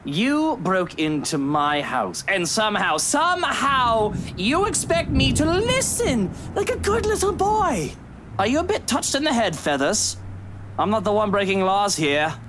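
Arrogant, theatrical villain, wildly swooping pitch. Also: male voice, sing-song, fairly bright tone, slight background noise, exaggerated voice. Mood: mocking anger. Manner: haughty, drawn-out taunting delivery. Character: arrogant wealthy blond villain, a menacing man. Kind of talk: visual novel game acting.

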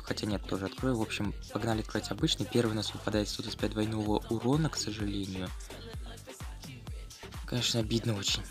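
A man giving casual, slangy running commentary on his gameplay for viewers, as host of a gaming video. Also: fairly low pitch.

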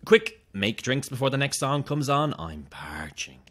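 A man's voice: mid-range voice, sing-song, measured pace, fairly bright tone, faint music behind. An actor playing a gleefully sinister, boozy male party host in a horror-comedy audio drama, taunting and theatrical. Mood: jovial, taunting.

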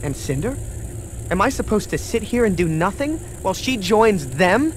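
A man's voice: high voice, sing-song, moderate pace, slight background noise. A man voicing a young male dragon hero in a video game, earnest, youthful and determined. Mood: anxious, frustrated. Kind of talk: video game cutscene acting.

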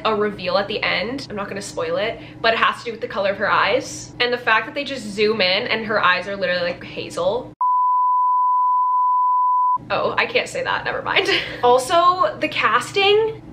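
Young female vlogger, high-ish voice, casual slangy reactions, some noise behind her. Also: sharp tone, low-quality recording. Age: young adult. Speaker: book vlogger. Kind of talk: casual trailer reaction vlog. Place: small bedroom, indoors.

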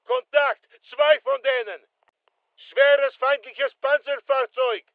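A man's voice: very high voice, steady tone, slow pace, no room sound, phone-quality sound, full character voice. A male actor playing a terse special forces soldier in a military shooter game, giving clipped, commanding callouts. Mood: urgent, loud.

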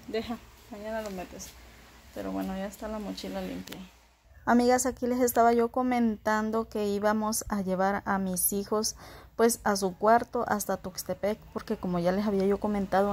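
A woman's voice: medium pitch, a little mellow, a little hiss or hum. A woman in her thirties chatting casually to her viewers in a home vlog, recorded on a phone in a small kitchen. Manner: chatty, addresses viewers as friends. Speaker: vlogger.

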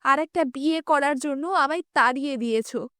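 A woman voicing a proud, needy middle-aged estranged wife in a story, speaking insistently with cutting, deliberate articulation. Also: high voice, a bit bright, no echo, clean studio sound. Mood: heated accusing.

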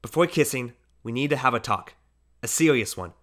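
A middle-aged father, a prosecutor by trade, scolds his son in a measured, firm voice, as a male reader plays him. He leans on his words and sounds louder than the surrounding narration. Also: medium pitch, animated, lightly acted. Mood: stern.

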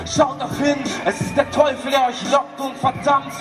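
A man's voice: very high voice, level tone, moderate pace, music in the background, crowd noise. A man rapping political hip hop in an urgent, declamatory way. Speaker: rapper. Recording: distant voice over hip hop beat.

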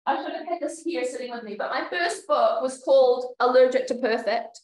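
A woman's voice: high voice, fairly quick, a little mellow, a little hiss or hum, poor audio. A woman in her forties with a New Zealand accent, a business coach talking frankly on a video call interview from a room at home. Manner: chatty, frank, many restarts. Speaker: podcast guest, business coach. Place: home room with door and painting. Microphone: video call webcam.

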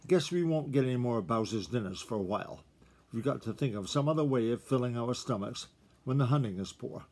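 A man voicing a shrewd old female fox who lectures calmly, in a husky voice. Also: mid-range voice. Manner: lecturing, matter-of-fact.

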